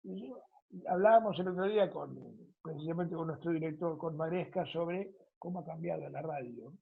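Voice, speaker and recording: A woman with an Argentine accent and a husky voice, hosting an interview in a discursive, conversational way. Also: low-pitched, moderate pace. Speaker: interviewer, radio programme host. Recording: thin phone-like audio.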